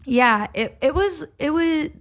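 A fairly high-voiced young woman from Minnesota, chatting as a podcast guest. She tells her story casually, scattering "like" fillers and laughing easily. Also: expressive, unhurried.

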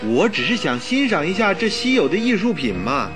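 A loyal doctor friend in a radio drama. His voice sits a little high and moves in lively swings. He speaks in an exasperated, protesting, questioning way. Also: brisk, music in the background. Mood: exasperated, concerned.

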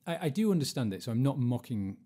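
Male podcast host, forties, mellow tone, chatty and wryly self-deprecating. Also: medium pitch, very animated, quick pace. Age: 40s. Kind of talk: casual podcast chat.

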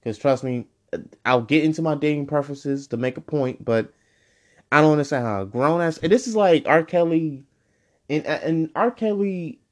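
A man's voice: fairly high pitch, moderate pace. A man in his twenties hosting his own podcast in a casual, rambling way, full of fillers and self-corrections. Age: 20s. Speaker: podcast host. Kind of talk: casual solo podcast monologue.

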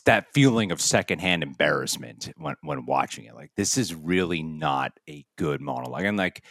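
Low-voiced man in casual rambling chat. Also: a bit bright, dry recording, studio quality. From United States. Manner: rambling, laughs often, many restarts. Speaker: podcast co-host.

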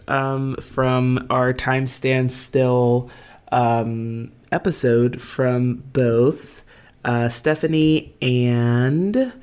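A woman co-hosting a podcast from an empty apartment, chatting casually with frequent giggles and fillers. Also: extremely low pitch. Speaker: podcast co-host. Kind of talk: casual chat.

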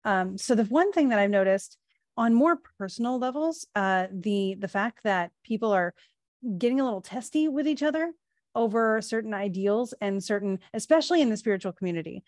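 A woman's voice: mid-range voice, expressive, brisk, a little mellow, no room sound, studio quality. A woman co-hosting a casual spiritual podcast, explaining at length with lots of fillers and restarts. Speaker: podcast co-host, astrologer.

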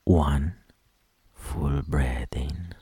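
Very deep, breathy male meditation guide. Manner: soft, soothing, breathy delivery trailing into whispers; slow, gentle instructions with long pauses.

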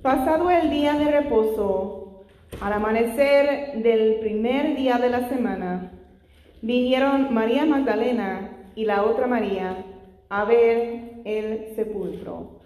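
A woman's voice: on the high side, slow pace, warm tone. Woman teaching Bible study in echoey hall. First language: not Spanish. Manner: frequent "Gloria al Señor" interjections, asks the congregation questions. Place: church hall. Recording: echoey hall with long reverb.

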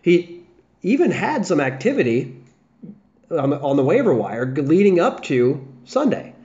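A man's voice: on the high side, sing-song, a little slow, mellow. A young American man hosting a casual solo fantasy football podcast, chatting loosely with fillers and restarts. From United States, south of the Chicago area. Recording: dull lo-fi podcast audio.

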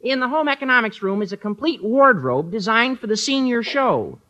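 An actor playing an eager, scheming teenage schoolboy in an exaggerated, wheedling radio sitcom style. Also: male voice, very high voice, expressive, normal speed, bright, forceful tone, lo-fi sound, exaggerated voice. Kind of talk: 1950s radio sitcom acting.

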